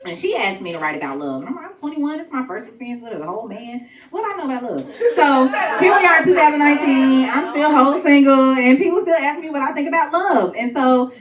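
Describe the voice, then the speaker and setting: A woman's voice: high voice, lively intonation, fast, few pauses. A woman in her late twenties, a spotlight poet at an open mic, chatting playfully with the crowd between spoken-word pieces. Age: 20s. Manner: chatty and playful with the audience, laughing between bits. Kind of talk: spoken-word poetry and casual stage chat. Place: event venue room. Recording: echoey room, voice from far off.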